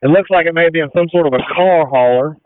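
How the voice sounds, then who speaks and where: A man's voice: on the high side, quick pace, dry recording. Man, fire commander, tinny two-way radio, dry close sound, directive, matter-of-fact. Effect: two-way radio transmission. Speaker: fire battalion chief acting as incident commander. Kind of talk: emergency radio traffic.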